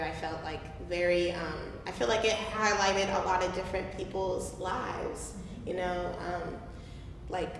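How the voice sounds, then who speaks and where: Young woman, twenties, lowish voice, unhurried and halting panel answer, very echoey noisy hall. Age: 20s. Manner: hesitant, halting delivery. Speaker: playwright and youth ensemble member. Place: reverberant room at a live staged reading. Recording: echoey hall, noticeable background noise.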